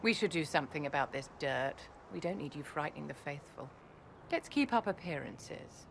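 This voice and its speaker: A poised, low-pitched noblewoman in a video game. She is cool, measured and condescending, commanding in a haughty way, with faint ambience behind her. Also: animated, creaky, a bit bright, slight background noise, heavily acted. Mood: haughty concern. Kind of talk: video game dialogue acting.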